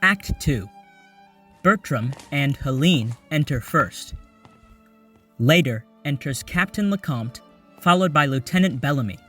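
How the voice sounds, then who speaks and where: A man's voice: fairly high pitch, lively intonation, measured pace, long pauses, sharp tone, breathy edge, distant mic, soft background music. A man reading scripted stage directions as the narrator of an audio drama.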